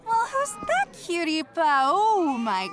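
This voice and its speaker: A woman's voice: extremely high pitch, wildly swooping pitch, takes it slowly, music in the background, full character voice. A woman playing a brash, vain young social media streamer in an audio comedy, with a dramatic, dismissive, slangy delivery.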